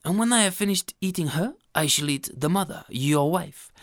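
A woman voicing a greedy, menacing male goat who slowly boasts whom he will eat. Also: on the low side, animated, no echo, studio quality. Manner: slow, grand threats, shrieking shouts when bitten.

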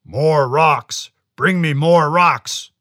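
Man putting on a booming giant monster. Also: pushed and bright, dry recording, studio quality, heavily acted. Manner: slow booming roars. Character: roaring giant forest monster, male.

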